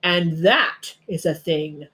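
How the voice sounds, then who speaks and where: A woman voicing a big boastful male bear in a storybook, bragging slowly and emphatically with a bit of growl. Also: fairly low pitch, fairly bright tone, pitched below the performer's own voice. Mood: proud, drawn-out.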